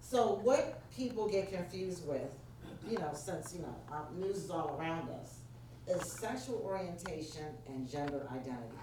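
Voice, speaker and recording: Deep-voiced New York woman leading a workshop. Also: lively intonation, moderate pace. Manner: engaging, prompts the audience directly. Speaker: workshop presenter. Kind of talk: interactive workshop talk. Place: church meeting room. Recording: very echoey room, distant mic, faint noise.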